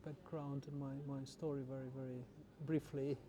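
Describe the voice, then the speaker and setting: A man who grew up in the Czech Republic, an orchestra's general manager, answering interview questions in a halting way with many repeated words. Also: medium pitch, level tone, crowd noise. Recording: noisy background.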